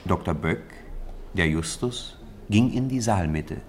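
Ein Mann trägt als Erzähler eines Hörspiels einen literarischen Text vor. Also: male voice, low-pitched, lively intonation, unhurried, slight background noise. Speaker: narrator. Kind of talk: scripted storybook narration for radio.

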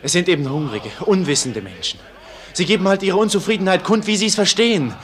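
Earnest, hesitant young tutor. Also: male voice, high-pitched, lively intonation, quick pace, a bit bright. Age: young adult. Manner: hesitant, deferential. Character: earnest, timid young male tutor and theology candidate. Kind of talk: radio play acting.

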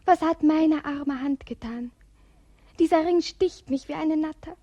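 An actress playing a young princess in a 1950s radio play, dreamy and lyrical, often sinking to a whisper. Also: female voice, high-pitched, unhurried, dark tone, dry recording, lo-fi sound. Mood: anguished. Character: melancholy young princess fleeing an arranged marriage.

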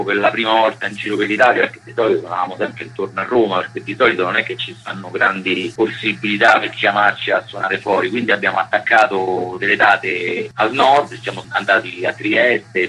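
A man's voice: on the low side, bright, forceful tone, husky, poor audio. A man with a Roman accent giving casual, colloquial, rambling answers as an interviewed musician. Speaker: musician interviewed as band member.

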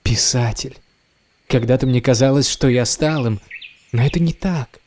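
An actor playing a restless, self-doubting writer in an audio drama, speaking in a wistful, courteous manner. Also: male voice, medium pitch, moderate pace, darkish tone, natural voice. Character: weary, restless, self-doubting male writer set on escape. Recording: clear background noise, some clicks.

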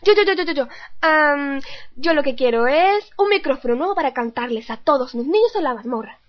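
A woman's voice: high voice, lively intonation, brisk, muffled recording. A woman voicing a relentlessly cheerful female prefect who bursts into song. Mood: eager.

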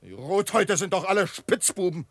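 Gravelly, high-pitched frontier ruffian. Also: male voice, very animated, brisk, no echo, full character voice. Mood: sneering. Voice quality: gravelly, sharp. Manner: sneering, aggressive. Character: brash, spiteful frontier ruffian, a bigoted bully. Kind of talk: German radio drama acting.

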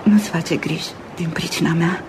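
An actress playing a fragile, wistful young woman in love in a Romanian radio play, soft and dreamy. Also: low voice, steady tone, background noise, natural voice. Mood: wistful, tearful. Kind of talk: Romanian radio theatre acting.

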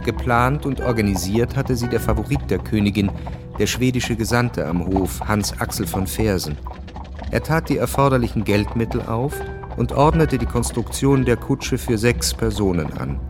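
A man giving a scripted narration for a historical radio drama. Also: lowish voice.

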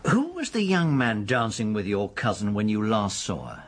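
A man's voice: on the low side, huge pitch swings, moderate pace. An actor playing a helpful, businesslike policeman in a radio drama, speaking plainly and informally. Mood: inquiring.

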